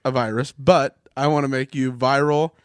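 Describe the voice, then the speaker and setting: A young man co-hosting a casual podcast, bantering and teasing his partner, quick to laugh. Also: mid-range voice, fairly even intonation, clean tone, no room sound, studio quality. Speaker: podcast co-host. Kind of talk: casual chat.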